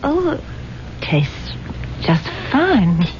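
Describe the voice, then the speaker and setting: An American actress playing a wealthy, earnest, trusting wife in a 1970s radio mystery, with a genteel manner. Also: female voice, high voice, very animated, drawn-out words, darkish tone, a little hiss or hum, full character voice. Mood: affectionate. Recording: dull 1970s radio broadcast, prominent music.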